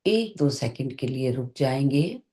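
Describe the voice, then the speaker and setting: Very slow, gentle meditation guide. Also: medium pitch, animated. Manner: slow, deliberate, with long pauses between short phrases. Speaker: meditation teacher leading a session.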